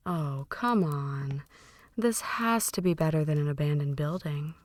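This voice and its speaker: A woman playing a possessive, menacing vampire mistress in an intimate roleplay, teasing and manipulative, often slipping into breathy whispers. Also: low voice, no room sound. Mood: possessive, coaxing. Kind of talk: intimate ASMR roleplay monologue.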